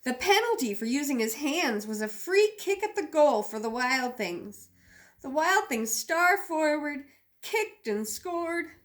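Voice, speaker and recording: A woman reads a picture book to children as a teacher. Her voice is very high, with lively swings in pitch and an unhurried pace. She is expressive and leans on the sound words. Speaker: teacher reading aloud. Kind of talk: animated children's storybook read-aloud.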